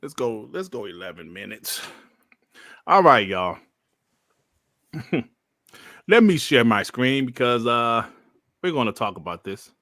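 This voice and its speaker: A man from Detroit hosting a casual podcast livestream, animated and joking as he reads out chat messages. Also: mid-range voice, slow, long pauses, no echo, studio quality. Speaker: podcast host.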